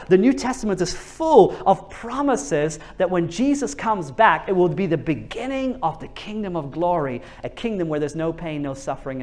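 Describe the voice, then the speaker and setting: A man's voice: high-pitched, a little mellow. A man from Norway, preaching a sermon earnestly, repeating words as he builds a point and asking the audience questions.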